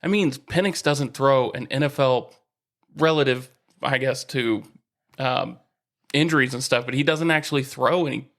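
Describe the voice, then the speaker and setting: Male podcast co-host, mid-pitched, casual and opinionated sports talk. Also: normal speed, a bit bright. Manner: informal, opinionated, swears casually.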